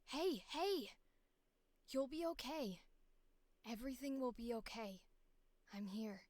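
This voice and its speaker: Boyish young anime companion, played by a woman, comforting and protective; higher register, dry studio sound. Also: lively intonation, takes it slowly, no echo. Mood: urgent reassurance. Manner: reassuring, hushed at times. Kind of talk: anime-style voice audition acting.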